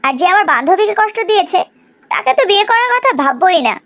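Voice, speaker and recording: A woman's voice: extremely high pitch, very animated, fast talker, fairly bright tone, full character voice. A woman playing a blunt, disdainful young woman and loyal friend in a cartoon drama, with brash, emphatic delivery. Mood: disdainful, protective. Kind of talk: Bengali cartoon drama acting.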